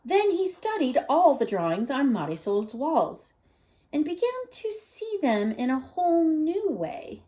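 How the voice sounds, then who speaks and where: Woman, high voice, slow deliberate pace, children's read-aloud, teacherly, gently questioning. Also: very animated. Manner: gentle questioning, prompting listeners to think. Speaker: teacher reading a picture book aloud. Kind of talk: expressive children's read-aloud with asides to listeners.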